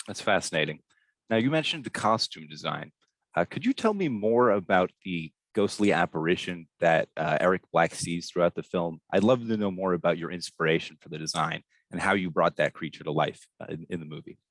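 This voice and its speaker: A man's voice: low-pitched, lively intonation, brisk, fairly bright tone, no echo, rough recording. A man hosting an interview, politely putting prepared questions to his guest. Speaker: interviewer, host.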